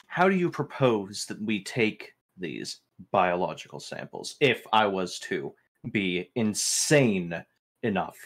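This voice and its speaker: Low-voiced man as a wary captain. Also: sing-song, takes it slowly, fairly bright tone. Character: firm, wary male spaceship captain. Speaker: tabletop game player. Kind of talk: in-character improvised roleplay dialogue.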